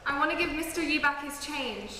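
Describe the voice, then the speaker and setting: A woman's voice: high voice, brisk, pushed and bright. An actress playing a self-conscious young woman performer who talks in a hesitant, wry, chatty way. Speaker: actor playing a performer on break. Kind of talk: scripted film dialogue. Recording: echoey hall with faint noise.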